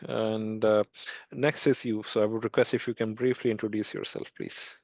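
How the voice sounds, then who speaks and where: A man's voice: on the low side, flat delivery, normal speed, vocal fry, darkish tone, no echo. A man moderating an online panel, polite and welcoming, with frequent "you know" fillers, on a video call.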